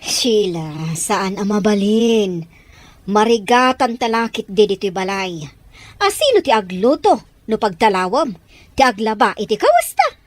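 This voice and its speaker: A woman's voice: mid-range voice, sing-song, a little slow, no room sound. A woman acting a bossy, scheming, possessive female character in an Ilocano audio drama, whiny and insistent. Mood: scheming, irritated.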